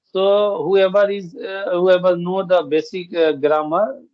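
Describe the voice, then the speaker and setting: Halting Hindi-speaking man, dull dry sound. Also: sits high, little pitch movement, dry recording. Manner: halting, many fillers and restarts. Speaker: guest English learner. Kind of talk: conversational practice chat.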